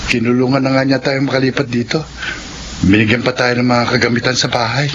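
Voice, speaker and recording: An actor playing a young working brother in a radio drama, earnest and boyish in manner. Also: male voice, mid-range voice, little pitch movement, fast talker, a bit bright, close to an everyday voice. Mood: hopeful, admiring. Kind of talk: Tagalog radio drama acting. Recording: dull, faintly noisy radio drama audio.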